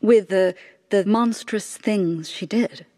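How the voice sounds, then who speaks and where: A woman's voice: mid-range voice, slow pace, dark tone. Intense, devout mother in anguish. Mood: bitter, defiant. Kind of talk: radio drama acting.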